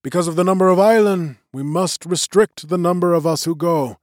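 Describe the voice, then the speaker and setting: Narrator doing an old wise magician, measured and kindly, pitched up and a bit louder than his narration, dry close sound. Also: dry recording, natural voice. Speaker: elder magician leading a council.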